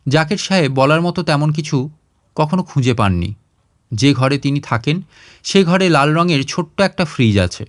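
A man's voice: a little high, no room sound, clean studio sound. A man reading a literary short story aloud as a storyteller, in audiobook style.

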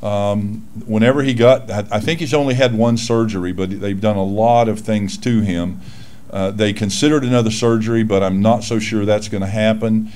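A man's voice: lowish voice, fairly even intonation, moderate pace, darkish tone. A man in his sixties, a pastor giving casual, folksy church announcements from a lectern microphone in a small church room. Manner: folksy, self-deprecating, with frequent fillers.

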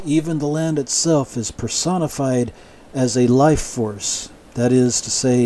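A man giving a measured, explanatory Bible lecture, often restarting his sentences and adding asides. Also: mid-range voice, noisy background. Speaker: Bible teacher. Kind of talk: sermon-style Bible lecture.

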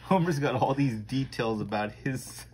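A man's voice: mid-range voice, lively intonation, moderate pace, over a noisy crowd, poor audio. A man co-hosting a comics show, casually talking through what he is looking at. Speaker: co-host of a comics review show. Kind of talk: casual chat, recapping a comic. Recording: noticeable background room noise.

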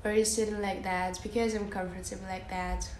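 A young woman from Russia with a Russian accent, chatting slowly and softly on a phone livestream from her bedroom and answering viewers' comments with lots of "like" and "uh". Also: medium pitch, flat delivery, echoey room, a little hiss or hum. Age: young adult. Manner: soft and giggly, many fillers like "like" and "uh". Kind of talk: casual livestream chat, reading out comments. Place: bedroom, indoors. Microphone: phone held close, lying on a bed.

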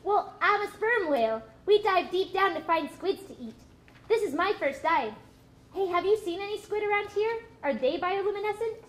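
A woman's voice: extremely high pitch, lively intonation, moderate pace. A woman voicing a naive, curious young female sperm whale in a live puppet show, full of hesitant exclamations. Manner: wide-eyed, hesitant exclamations. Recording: live theatre recording, faint noise.

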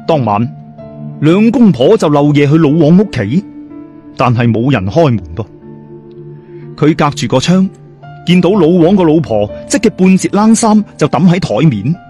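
A man's voice: on the high side, sing-song, moderate pace, mellow, soft background music. A man with a Cantonese accent narrating a scripted horror story in a dramatic, suspenseful storyteller's manner. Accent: Hong Kong or Guangdong Cantonese.

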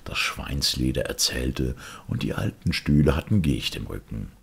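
Male storyteller for fairy tales: extremely deep, husky, creaky, expressive delivery. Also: moderate pace. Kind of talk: expressive fairy-tale narration.

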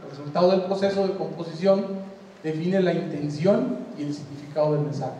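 A man's voice: fairly high pitch, a little fast, warm tone, slight background noise. Older Mexican man lecturing in an echoey hall. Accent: Mexican Spanish. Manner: conversational, checks in with the audience. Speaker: design lecturer. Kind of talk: conference lecture. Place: large hall with seated audience. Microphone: handheld mic. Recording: roomy hall echo from far off.

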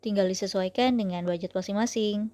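A woman's voice: medium pitch, brisk, noisy background. Chatty young woman vlogging on a boat. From Indonesia. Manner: chatty, upbeat, explains as she films. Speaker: travel vlogger. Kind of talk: casual travel vlog narration. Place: outdoors at a harbor and on a boat. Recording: music playing loudly behind.

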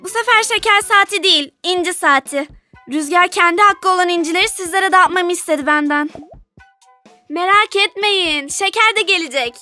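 Very high, bright cartoon youngster. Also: female voice, moderate pace. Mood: cheerful, proclaiming. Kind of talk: children's cartoon dubbing. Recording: music bed under the voice.

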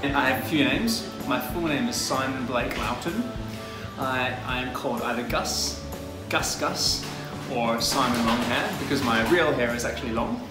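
A man's voice: mid-range voice, normal speed. A man from Western Australia answering casually, rambling and playful. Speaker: cast member. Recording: echoey room with music behind.

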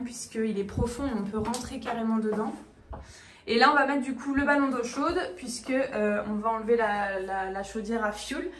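A young woman, chatty and informal, giving a casual house tour as a vlogger filming on a handheld phone in empty rooms. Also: a little high. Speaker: home renovation vlogger. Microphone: handheld phone or camera. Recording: echoey empty rooms, some background noise.

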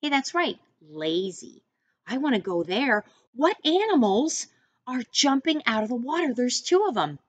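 A middle-aged American woman reading a picture book to young children as their teacher, expressively, with deliberate instructing asides. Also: a little high, big pitch swings. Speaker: first-grade teacher. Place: small room indoors, seated at a desk.

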